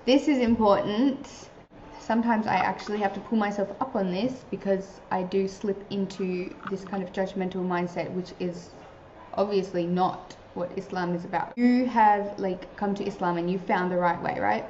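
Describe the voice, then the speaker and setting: A young woman speaks in a casual, earnest advice talk, her speech dotted with fillers. Her voice is soft and mellow. The recording sounds roomy and a little dull. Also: mid-range voice, fairly even intonation, moderate pace, slight background noise. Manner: earnest, chatty, many filler likes. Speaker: vlogger giving advice to new converts.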